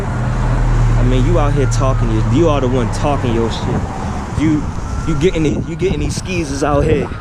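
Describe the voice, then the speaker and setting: A man with a Baltimore accent, talking casually and full of slang as he walks the city for a vlog. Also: a little high. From East Baltimore. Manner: laid-back slangy chatter. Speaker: vlogger. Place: city street outdoors. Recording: loud street noise.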